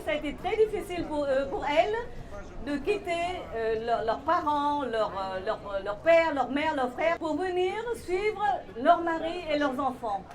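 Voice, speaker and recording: A woman's voice: sits high, expressive, unhurried, crowd noise. A mature woman gives an informal, heartfelt tribute speech, hesitating and repeating her words. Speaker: member of a Vietnamese-Senegalese community giving a tribute.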